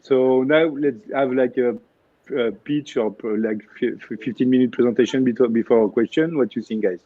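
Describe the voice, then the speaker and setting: A man's voice: medium pitch, steady tone, moderate pace, dark tone, no echo. French-accented man, hesitant, lots of fillers, tinny phone-like line, dry. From France. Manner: hesitant, many fillers and restarts. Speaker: spine surgeon, guest. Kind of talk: casual AMA chat.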